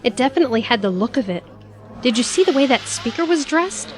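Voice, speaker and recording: A woman's voice: high-pitched, expressive, quick pace. A woman playing a flippant, frank travelling companion with a casual, wry manner in an audio drama.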